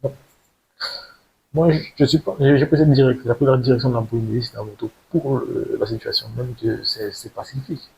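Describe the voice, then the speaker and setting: A man's voice: mid-range voice, a little fast, creaky. A young man speaks off the cuff in an earnest personal monologue, hesitating and often repeating words as he goes. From French Guiana. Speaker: video creator telling his own story. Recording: steady hiss, noisy amateur recording.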